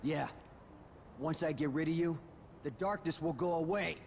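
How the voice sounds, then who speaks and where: An actor playing a brooding, hot-tempered young hero in a video game cutscene. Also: male voice, fairly high pitch, normal speed, gravelly, darkish tone, slight background noise. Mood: grim resolve.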